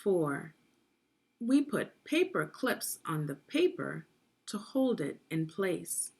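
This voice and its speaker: A woman's voice: on the low side, sing-song, takes it slowly, creaky, husky. A woman leading a guided read-aloud of a children's science book, teaching and prompting young listeners with questions.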